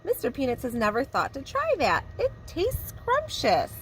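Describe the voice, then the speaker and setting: A preschool teacher reads a picture book to young children with warm, expressive storytelling. Her voice sits fairly high and swoops up and down a great deal. A faint hiss runs underneath. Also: normal speed.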